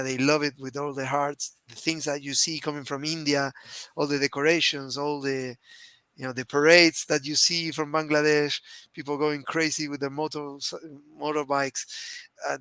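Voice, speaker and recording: A man's voice: on the high side, level tone, moderate pace, sharp tone, clean tone, no echo. A man in his forties from Argentina, with an Argentine Spanish accent, co-hosting a casual live stream over a video call headset and speaking in a heartfelt, rambling way. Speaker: co-host. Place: small home room.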